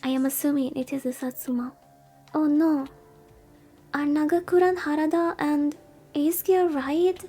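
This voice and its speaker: A woman's voice: high voice, steady tone, normal speed, mellow. A woman VTuber reading a visual novel aloud in a soothing way and adding casual commentary. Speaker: VTuber streamer. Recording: background music. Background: music.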